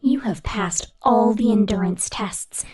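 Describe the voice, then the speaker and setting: A woman playing a menacing, possessive robot boss in an ASMR roleplay, commanding and pompous in a deliberate corporate manner. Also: mid-range voice, darkish tone, slightly rough. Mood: coldly gloating.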